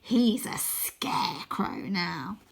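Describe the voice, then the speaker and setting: A woman voicing a gloating male scarecrow villain in a storybook, with a raspy, snarling voice and slow, sneering threats. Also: lowish voice, animated, exaggerated voice.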